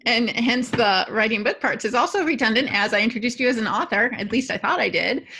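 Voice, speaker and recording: A woman's voice: fairly high pitch, brisk, pushed and bright, a little hiss or hum. A woman moderating a podcast, moving between a prepared introduction and wry, teasing banter with her guests.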